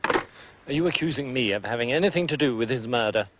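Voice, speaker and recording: An actor playing a gruff, prickly painter with a British accent, curt and dry in a detective drama. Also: male voice, medium pitch, animated, brisk, heavy background noise, natural voice. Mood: irritated.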